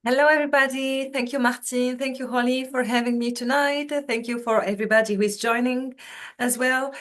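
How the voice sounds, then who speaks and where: A woman's voice: high voice, fairly even intonation, a little fast, a bit bright. A woman from Tunisia, a university recruitment officer, giving a webinar presentation over a video call in a chatty way full of fillers and restarts.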